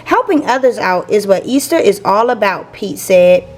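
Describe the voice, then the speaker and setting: A woman reading a children's picture book aloud in an expressive, storytelling way for young listeners. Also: mid-range voice, normal speed. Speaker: teacher reading picture books for a channel.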